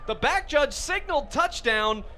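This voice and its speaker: High-pitched American man calling football on radio. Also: animated, measured pace. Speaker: radio play-by-play announcer. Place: outdoor football game, live radio broadcast. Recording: loud outdoor stadium noise behind voice.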